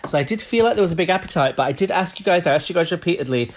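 A man's voice: high voice, animated, a little hiss or hum. A man co-hosting a podcast in casual, joking chat, a little bunged up from a cold, swearing freely. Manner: sweary, jokey, quick banter. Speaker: podcast co-host.

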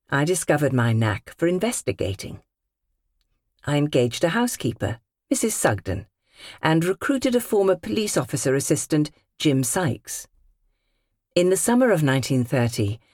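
British woman narrating, expressive, close dry sound. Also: low-pitched, no echo. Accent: British English. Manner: measured, carefully phrased storytelling. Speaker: audiobook narrator. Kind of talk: scripted literary narration in character.

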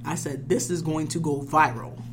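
Young woman, podcast host, slightly low pitch, emphatic, informal, conversational, humming noisy background. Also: fairly even intonation, moderate pace. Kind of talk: casual, animated conversation. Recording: noisy recording with clear hum.

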